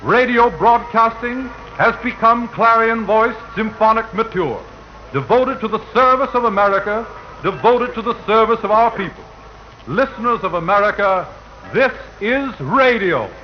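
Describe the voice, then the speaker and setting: A male radio announcer with a high voice delivers formal, oratorical copy in 1940s style. His delivery is stately. The old broadcast is muffled, with some faint noise. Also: lively intonation, moderate pace. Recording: dull, noisy 1940 radio broadcast.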